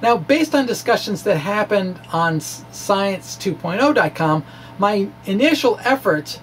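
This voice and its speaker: A man presenting a physics lecture informally, with animated emphasis and a halting delivery full of stammered restarts. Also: high voice, slight background noise. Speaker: amateur physicist presenting his own theory. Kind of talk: informal physics lecture, reading of a blog talk.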